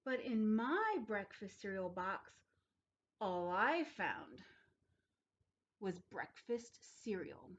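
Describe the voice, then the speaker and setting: A woman's voice: medium pitch, sing-song, slow pace, pauses often, highs cut off. A woman reading a children's story aloud expressively, leaning dramatically on key words. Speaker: storybook reader.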